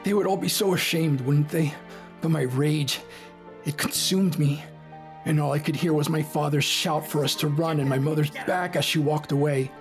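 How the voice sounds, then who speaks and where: A man acting a proud young male emperor in an audio drama, bitter with grief, curt and haughty in a husky voice. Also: a little high, fairly even intonation, moderate pace, lightly acted. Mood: anguished. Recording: music under the voice.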